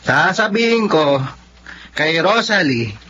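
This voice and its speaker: Earnest young sweetheart, high lively voice, radio drama, muffled noisy recording. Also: male voice, slow pace, exaggerated voice. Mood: tipsy, cheerful. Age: young adult. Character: earnest young working son and sweetheart, sometimes tipsy. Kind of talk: Tagalog radio drama acting. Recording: dull, noisy lo-fi radio audio.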